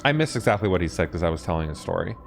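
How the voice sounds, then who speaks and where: Low-pitched man chatting over a game. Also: expressive, very fast, creaky, soft background music. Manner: rambling, reacting aloud to the game. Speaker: gaming content creator. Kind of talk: casual let's play commentary.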